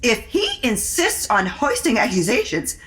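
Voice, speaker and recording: Woman playing a haughty, tart lady, very expressive. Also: a little high, a little slow. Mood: tart, defiant. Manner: arch, dry, sardonic. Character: tart, haughty society woman, unflappable and cutting. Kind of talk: audio drama acting.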